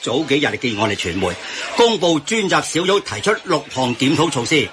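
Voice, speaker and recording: An actor as a well-informed Hong Kong man in a radio skit, explaining things patiently to his friends. His voice is fairly high and very bright, over a faint noise. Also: big pitch swings, moderate pace. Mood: reassuring. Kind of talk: Cantonese radio drama banter, explanatory.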